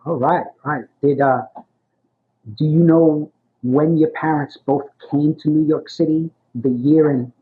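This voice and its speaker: A man's voice: fairly high pitch, fairly even intonation, measured pace. Friendly male archivist, muffled. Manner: friendly, affirming, keeps saying "got it" and "awesome". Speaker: interviewer, archivist. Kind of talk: oral history interview.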